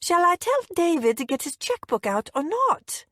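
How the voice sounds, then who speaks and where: A woman's voice: a little high, big pitch swings, measured pace, no room sound, studio quality, voice pushed up. A woman voicing a wealthy, overbearing mother in an audiobook, with an airy, cutting, theatrical manner. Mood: brisk, insistent. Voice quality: trilling, crisp. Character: posh, overbearing wealthy mother, shrill and breezily insistent. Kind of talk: audiobook character dialogue.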